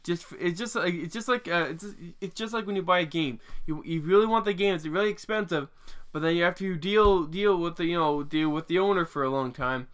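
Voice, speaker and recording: A man's voice: high voice, fairly quick, a bit bright, smooth. A man in his twenties rambling through an opinion vlog, with lots of restarts and fillers and some casual swearing. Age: 20s. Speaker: vlogger.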